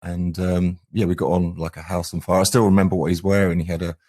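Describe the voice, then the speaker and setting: A man's voice: low voice, flat delivery, fast talker, darkish tone. An English man in his forties, a musician guest reminiscing casually in a hesitant, rambling way. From England. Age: 40s. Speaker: band frontman, interview guest.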